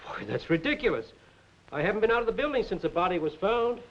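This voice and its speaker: An actor in a 1940s crime film playing a middle-aged, weary doctor, nervous, evasive and defensive. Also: male voice, high voice, very animated, quick pace. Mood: defensive, agitated. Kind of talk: 1940s film noir acting. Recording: dull 1940s film soundtrack.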